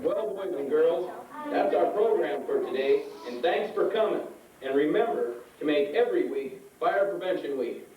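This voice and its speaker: A man's voice: fairly high pitch, animated, normal speed. Man addressing children in an echoey, crowded hall. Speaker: presenter closing a children's program. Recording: distant echoey hall, dull sound, crowd noise.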